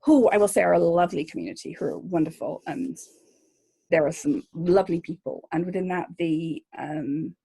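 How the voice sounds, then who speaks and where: Husky-voiced female journalist guest. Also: on the low side, moderate pace, mellow. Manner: thoughtful, with frequent "um"s and restarts. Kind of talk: interview answers.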